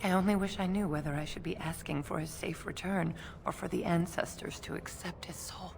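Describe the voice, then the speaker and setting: A woman acting as a sorrowful, devout dwarf mother in a video game, speaking in a soft, wistful way. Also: lowish voice, fairly even intonation, fairly quick, creaky, a little hiss or hum.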